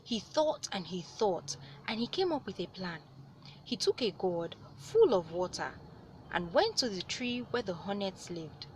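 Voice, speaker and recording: A woman reading a story aloud as host, with animated delivery and dramatic, drawn-out emphasis on words. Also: medium pitch, a little hiss or hum, poor audio. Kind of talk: expressive read-aloud storytelling.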